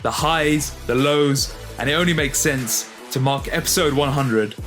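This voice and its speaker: A man hosting a podcast episode and interviewing his parents in an upbeat, lively way. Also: on the high side, unhurried, soft background music. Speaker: podcast host.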